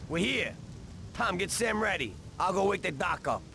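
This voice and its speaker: Casting: brash, slangy American gangster, 1930s game, highish gravelly voice, clearly noisy recording. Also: male voice, big pitch swings, normal speed, bright, forceful tone, exaggerated voice. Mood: urgent. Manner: brash, slangy street talk. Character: cocky, hot-tempered 1930s American mobster. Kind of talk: dramatic video game voice acting.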